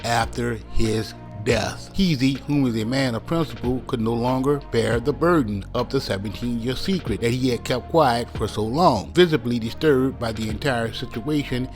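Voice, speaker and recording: A man's voice: mid-range voice, normal speed, hardly any pauses. A man reading scripted documentary narration in a dramatic storytelling manner. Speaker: narrator of a hip-hop news channel. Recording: music bed under voice. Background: background music.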